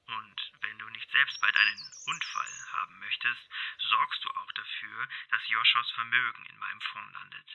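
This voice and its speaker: A man's voice: on the low side, fairly even intonation, normal speed, vocal fry, hardly any pauses. A man playing a smug, cold swindler who taunts and threatens in an audio crime drama. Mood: menacing. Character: cold, menacing swindler uncle threatening his sister-in-law. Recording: dull, noisy, clicky recording.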